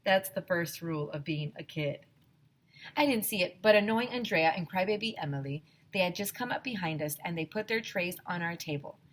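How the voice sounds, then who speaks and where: A woman's voice: lowish voice, brisk. A woman teacher reading a children's story aloud expressively and talking directly to her young listeners. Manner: animated, addresses children directly.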